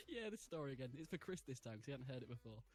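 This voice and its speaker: A man's voice: medium pitch, sing-song, fast. A man in his twenties chatting casually and laughing often while streaming a game. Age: 20s. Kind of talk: casual gaming chat.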